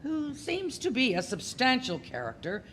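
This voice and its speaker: A woman's voice: high-pitched, wildly swooping pitch, moderate pace, a bit bright. A woman narrating an audio play in a scripted, dramatic storytelling style. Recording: noticeable background noise.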